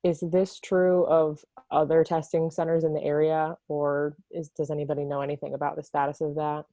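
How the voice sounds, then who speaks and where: A woman's voice: deep voice, little pitch movement, normal speed, dark tone, no room sound. A woman casually asking questions as a participant in an online meeting over a video call.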